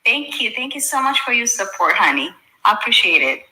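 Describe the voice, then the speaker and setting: A woman's voice: on the high side, big pitch swings, fairly quick, sharp tone. A woman from Ethiopia with an Ethiopian accent and Amharic as her first language, chatting casually to her livestream viewers and thinking aloud as she goes. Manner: informal, thinking aloud, addressing viewers with endearments. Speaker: social media livestreamer. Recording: roomy, echoey sound.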